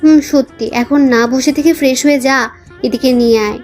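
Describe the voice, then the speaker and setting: Woman acting a high-voiced, familiar older sister. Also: normal speed, darkish tone, faint music behind, close to an everyday voice. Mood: matter-of-fact. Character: teasing, caring older-sister young woman. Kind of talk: Bengali romantic audio story acting.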